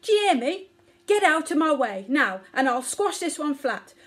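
A woman voicing a furious, bossy mother elephant in a children's story, shouting and scolding. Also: high voice, sing-song, normal speed, voice pushed up.

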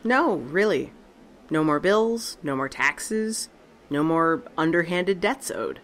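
A woman's voice: low voice, expressive, slow. A woman playing Tessa, a tough, sarcastic and profane survivor, in a fan audio drama. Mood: wry.

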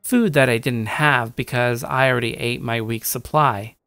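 A man's voice: mid-range voice, fairly even intonation, normal speed, sharp tone, dry recording. A man playing a shy young gamer loner over music. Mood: wry, casual. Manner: self-deprecating, stammering when talking to others. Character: shy, awkward young male gamer loner narrating his life. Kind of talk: first-person story narration with acted dialogue.

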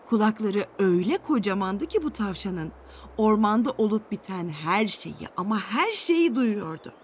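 A woman reading a scripted children's storybook tale with an expressive storytelling delivery. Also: medium pitch, moderate pace, a little hiss or hum.

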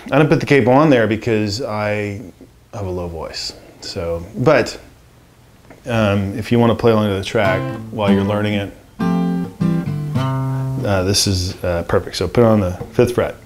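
A man in his forties giving a casual guitar lesson in a home studio, relaxed and rambling with lots of fillers. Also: on the low side, moderate pace. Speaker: guitar teacher. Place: home music studio, indoors. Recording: guitar strummed under the voice. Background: acoustic guitar playing.

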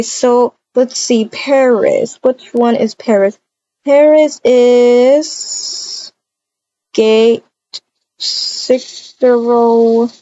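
A woman's voice: on the high side, dark tone, dull audio. A woman improvising a voiced video-game roleplay, chatting and narrating her own actions aloud.

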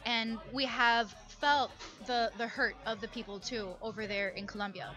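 A woman's voice: on the high side, fairly even intonation, normal speed, pushed and bright, faint music behind. A young woman from Miami co-hosting a live radio broadcast at a lounge, chatty and earnest into a desk mic. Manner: chatty, earnest about a cause. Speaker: radio co-host and fashion line founder. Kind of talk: casual radio talk. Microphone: desk microphone on stand.

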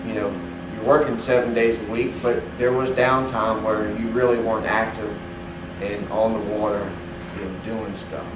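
A man's voice: fairly low pitch, little pitch movement, normal speed. A man on a panel answering audience questions, rambling with plenty of "you know" fillers. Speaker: panelist for the fishing industry. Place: hotel conference room. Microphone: distant room mic. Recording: echoey room, distant mic, air-conditioning hum.

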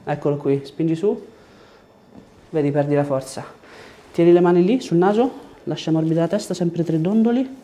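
A man, working as a chiropractor and giving short, gentle instructions and questions during an exam. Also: on the high side, normal speed, pauses often. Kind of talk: hands-on exam, instructing a patient. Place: small treatment room indoors.

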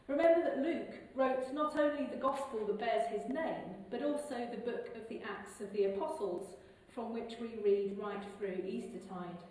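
A middle-aged woman priest with an English accent reads scripture and preaches in a steady, measured way. Her voice carries through a big stone church with heavy echo, picked up from a camera some distance away, with faint background hiss. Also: mid-range voice. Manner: measured, clear liturgical delivery. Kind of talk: gospel reading and sermon. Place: large stone parish church. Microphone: far camera. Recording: echoey church from far off.

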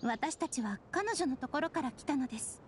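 A woman voicing a gentle, thoughtful young noblewoman in a Japanese anime game, with courteous, formal, soft-spoken delivery. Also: sits high, lively intonation, normal speed, slight background noise. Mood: polite, inquiring.

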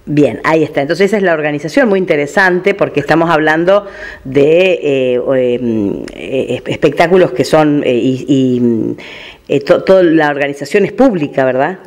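A man with an Argentine accent hosts a radio interview in a conversational style. His voice is somewhat high for a man as he puts questions and comments to his guest. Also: normal speed.

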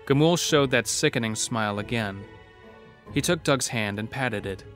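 A man's voice: medium pitch, moderate pace, a bit bright, soft background music. A man narrating a scripted horror story as the narrator of an audio drama.

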